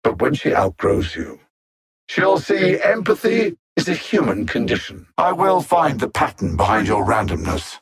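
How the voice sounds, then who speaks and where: A man playing a cold, superior alien machine conqueror in a video game, articulate and haughty, savoring his menacing taunts in a gravelly, husky voice. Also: medium pitch, very animated, normal speed. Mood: smug menace. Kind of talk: theatrical video game villain acting.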